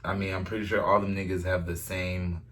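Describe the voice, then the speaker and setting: A man's voice: deep voice, flat delivery, fairly quick, fairly bright tone. A man chatting casually and telling stories in a playful, defensive, slangy way with plenty of swearing. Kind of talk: casual chat, joking storytelling.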